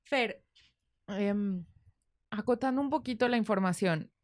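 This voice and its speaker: A woman's voice: mid-range voice, a little slow, a little mellow, no echo, studio quality. A woman with a Mexican accent hosting a conversational interview, speaking in an informal, reflective way as she works toward her questions. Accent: Mexican Spanish. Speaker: podcast host.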